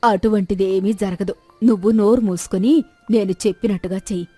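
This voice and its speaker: A woman's voice: mid-range voice, fairly even intonation, brisk, dark tone, no room sound, faint music behind, natural voice. A woman voicing a commanding, scheming village woman in a story. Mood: bossy, scheming. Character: bossy, scheming village woman covering for her man.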